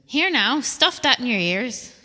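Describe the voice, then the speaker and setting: A woman's voice: on the high side, sing-song, unhurried, pushed and bright, heavily acted. A woman playing a bossy, foul-mouthed Irish character who scolds bluntly in a comedy audio drama. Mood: bossy, scolding. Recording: long reverb.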